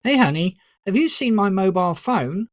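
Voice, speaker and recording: Narrator as a genial, scatterbrained dad, lively. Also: mid-range voice, moderate pace, no echo, clean studio sound, pitched above the performer's own voice, close to an everyday voice. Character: genial, scatterbrained family father.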